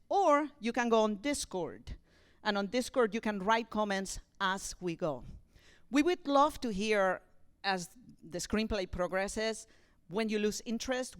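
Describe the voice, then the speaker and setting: Woman director doing an informal intro to a theater crowd, clear smooth voice, explains stuff straight to the room. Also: mid-range voice. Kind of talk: informal spoken introduction to an audience. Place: theater, speaking to a live audience.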